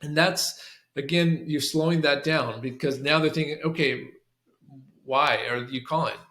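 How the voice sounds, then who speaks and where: Echoey higher-voiced man giving training. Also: sharp tone. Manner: halting, repeats and restarts phrases, often checks with "right?". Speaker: sales call trainer for a clinic. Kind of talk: instructional screen-share walkthrough with demonstrated scripts. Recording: roomy, echoing room sound.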